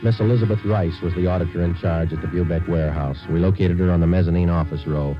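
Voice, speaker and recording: An actor playing a terse, businesslike American police sergeant in a 1950s radio crime drama, clipped, polite and deadpan. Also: male voice, sits low, fast, natural voice. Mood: deadpan, factual. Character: terse, businesslike police detective sergeant, narrating his own case. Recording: dull vintage radio recording, music behind.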